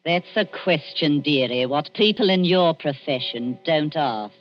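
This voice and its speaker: A woman's voice: low voice, expressive, a little slow, soft background music. An actress playing a sinister, falsely sweet old woman, in 1940s radio style. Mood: sly, menacing. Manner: oily, falsely sweet, taunting. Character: wheedling, sinister old female body-snatcher boss. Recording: dull, muffled old radio recording.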